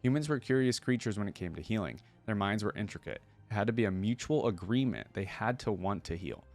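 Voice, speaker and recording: A man's voice: fairly low pitch, expressive, brisk, a little mellow. A man hosting a reaction video, reading a story aloud and breaking off to muse and explain in a casual way.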